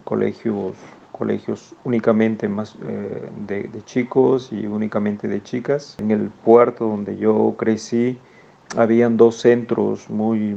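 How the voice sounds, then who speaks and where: A man in his forties with a northern Peruvian accent tells his story haltingly, circling back over his own words. His voice is low, gravelly and dark. The recording is dull and lo-fi. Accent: northern Peruvian Spanish. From Peru. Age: 40s. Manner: halting, repeats words and restarts, many "eh" fillers. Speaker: educator guest. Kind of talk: personal recollection.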